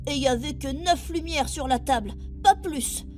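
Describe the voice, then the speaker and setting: Une femme qui joue un garçon de sept ans sérieux et inquiet, candide et vite excité, stagiaire spatial dans une fiction audio. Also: female voice, high voice, moderate pace, background noise, natural voice. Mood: accusing. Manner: wide-eyed, earnest, excitable. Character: earnest, anxious seven-year-old boy, space crew intern. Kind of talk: audio drama acting.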